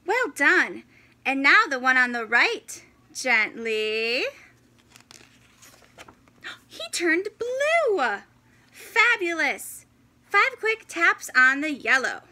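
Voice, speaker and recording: A woman in her thirties doing an animated, exclaiming, encouraging read-aloud of a children's picture book. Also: sits high, extremely slow, pauses often. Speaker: teacher reading story time for children. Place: indoors, in front of a fabric backdrop.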